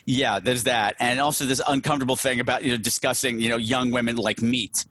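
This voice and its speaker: A man hosting a film podcast, talking through plot points in a relaxed way and laughing easily. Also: medium pitch, a little fast, slight background noise. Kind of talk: casual chat reviewing a movie.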